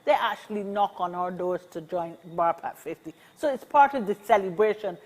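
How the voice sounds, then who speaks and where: A woman's voice: medium pitch, moderate pace, a little mellow. Upbeat Barbadian woman in her sixties. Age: 60s. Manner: upbeat, encouraging, repeats key phrases. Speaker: senior advocacy organization representative. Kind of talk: casual interview conversation.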